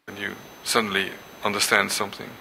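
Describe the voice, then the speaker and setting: Older Dutch man lecturing, bright voice. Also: lowish voice, completely flat delivery, a little fast, gravelly, a little hiss or hum, rough recording. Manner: hesitant, many ums and restarts. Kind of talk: symposium lecture with reading aloud. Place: lecture hall.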